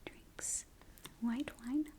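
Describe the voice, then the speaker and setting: A woman voicing a ghost guide in an ASMR roleplay, in a breathy whisper with slow, hushed, deliberate instructions. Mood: hushed, eerie. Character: ghost guide briefing a newcomer to the afterlife. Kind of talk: soft-spoken ASMR roleplay.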